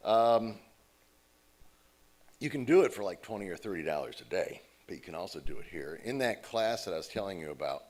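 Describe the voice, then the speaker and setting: A man's voice: low-pitched, animated, fairly quick, darkish tone. An American man speaking as a guest at a church service, telling stories in a conversational way. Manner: conversational, storytelling with quoted voices. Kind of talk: informal talk from the pulpit.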